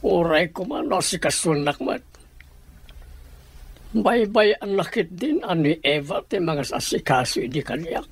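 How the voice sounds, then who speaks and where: Troubled radio drama husband: husky voice, brooding and accusing. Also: male voice, on the high side, animated, a little slow, full character voice.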